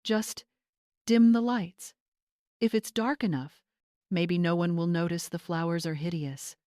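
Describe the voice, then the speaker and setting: A woman's voice: fairly low pitch, fairly even intonation, a little slow, dark tone, no room sound, clean studio sound, natural voice. Woman acting a theatrical scheming young bride. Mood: tight, fake-sweet. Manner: theatrical, snappish, syrupy. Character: scheming social-climbing bride, fake-sweet and imperious.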